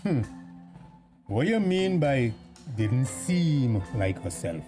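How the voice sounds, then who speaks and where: A man with a Tobagonian accent playing a formal, inquisitive village police constable in a radio drama, questioning deliberately. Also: medium pitch, sing-song, dark tone, faint music behind. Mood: measured, probing.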